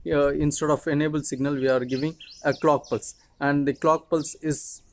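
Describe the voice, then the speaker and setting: A man in his twenties, a native Tamil speaker with an Indian accent, giving an explanatory lecture in a halting, repetitive way. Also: on the high side, level tone, a little mellow, slight background noise. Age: 20s. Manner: halting, repetitive, frequently checking with "okay". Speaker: teacher, video channel host.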